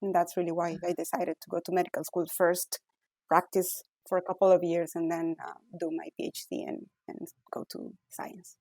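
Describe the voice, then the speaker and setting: A woman's voice: on the low side, little pitch movement, normal speed, mellow, no echo, rough recording. A middle-aged woman from Ecuador with an Ecuadorian Spanish accent, a physician scientist answering interview questions thoughtfully with many fillers and restarts.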